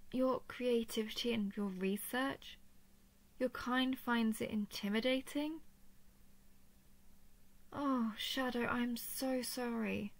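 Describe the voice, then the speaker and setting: Woman playing a tender female dragon with creaky voice. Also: fairly high pitch. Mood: tender, admiring. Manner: tender, teasing, halting when flustered. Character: affectionate, flustered female dragon, a playful empath. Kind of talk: intimate scripted audio roleplay.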